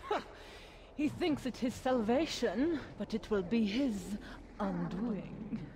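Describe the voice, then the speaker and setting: Slow, haughty villain goddess. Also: female voice, fairly high pitch. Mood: gloating, scheming. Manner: imperious, gloating, deliberate. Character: haughty, scheming villainous desert goddess, female. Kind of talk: video game villain acting. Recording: clear background noise.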